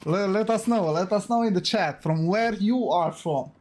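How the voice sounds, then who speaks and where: A man's voice: high voice, moderate pace, a little mellow. A man from Romania with a Romanian accent, hosting and casting a live esports stream. He talks to his viewers in a chatty way, repeats words and keeps adding "okay?" and "guys". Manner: hyping up the viewers. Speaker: esports caster and stream host. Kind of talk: solo live stream commentary.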